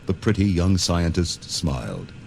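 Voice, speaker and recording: A man's voice: deep voice, big pitch swings, unhurried, gravelly, slight background noise. A man narrating a read-along adventure story in a dramatic, scripted style.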